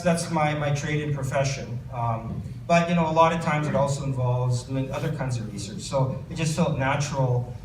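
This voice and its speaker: Canadian man reading aloud in an echoey room. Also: medium pitch. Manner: hesitant, many fillers and restarts. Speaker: author. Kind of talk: book reading and audience Q&A. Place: bookstore event space. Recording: roomy distant recording with background noise.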